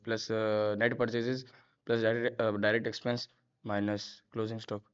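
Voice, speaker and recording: A young man answers his teacher in class, halting and filling the pauses as he recites. He sounds distant from the mic. The audio is muffled. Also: on the low side, flat delivery, clean tone. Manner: hesitant recitation with fillers. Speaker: student. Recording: dull lo-fi recording from off-mic.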